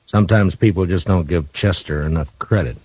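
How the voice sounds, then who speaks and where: A deep-voiced, calm frontier marshal. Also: male voice, fairly quick, slightly rough, no room sound. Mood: calm, probing. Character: steady, shrewd frontier town marshal, grown man. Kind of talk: 1950s radio drama acting. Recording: dull old radio broadcast recording.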